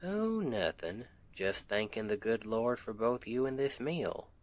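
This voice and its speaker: A man's voice: mid-range voice, lively intonation, natural voice. A man playing a doting country husband with a Southern accent in an intimate ASMR roleplay, folksy and affectionate. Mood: warm, contented. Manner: affectionate, unhurried, folksy, gently teasing.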